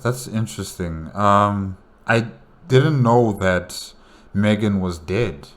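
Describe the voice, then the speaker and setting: A man with a very low voice gives casual solo commentary as a reaction host. He muses aloud, working through his thoughts as he goes. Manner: musing, thinking aloud.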